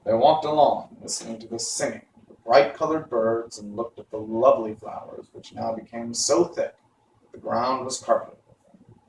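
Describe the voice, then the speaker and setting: A man's voice: mid-range voice, steady tone, moderate pace, creaky, darkish tone. A man narrating a storybook, reading aloud. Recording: roomy, distant mic, faint noise.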